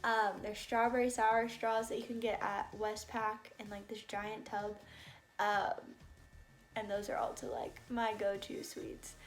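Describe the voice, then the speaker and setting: A woman's voice: mid-range voice, level tone, unhurried. A bubbly, chatty young woman in her twenties with an American accent, talking casually to camera on her vlog. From United States. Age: young adult. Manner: bubbly, chatty, laughs often. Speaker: vlogger.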